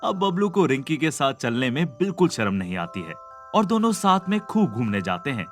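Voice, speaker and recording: A man's voice: medium pitch, very animated, moderate pace. A man reading scripted narration for a cartoon story. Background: background music.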